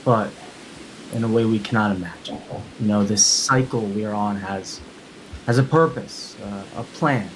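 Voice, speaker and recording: A man playing a calm, devout father and husband, reassuring and resolute, in a film script table read. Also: lowish voice, moderate pace, a little hiss or hum, close to an everyday voice, poor audio. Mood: solemn reassurance.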